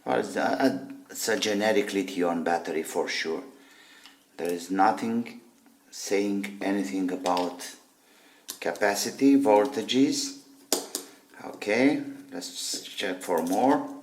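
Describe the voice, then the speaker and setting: A man hosting a gadget teardown, giving a casual, unscripted demonstration and thinking aloud in halting, short phrases. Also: on the low side, slight background noise. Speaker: host of a gadget teardown video.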